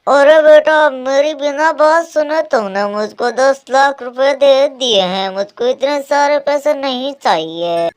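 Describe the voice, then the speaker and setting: Performer as a slow, humble older bird father. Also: sits high. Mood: surprised. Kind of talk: Hindi cartoon voice acting.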